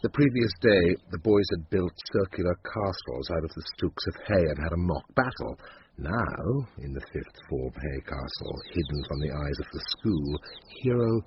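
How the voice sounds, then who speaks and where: A man's voice: sits low, animated, normal speed. A man narrating a radio drama in a literary, dryly formal, wry manner. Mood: dry, measured. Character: measured, wry narrator of a school murder mystery. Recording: muffled, lightly noisy old radio recording.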